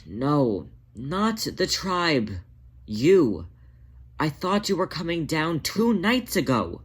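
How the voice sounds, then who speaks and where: A man's voice: on the high side, very animated, slow pace, husky, voice pushed up, heavily acted. Man playing a male cat, anxious protective brother, strained, pleading, storybook dialogue. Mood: relieved, scolding. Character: worried male cat, urgently caring for his brother.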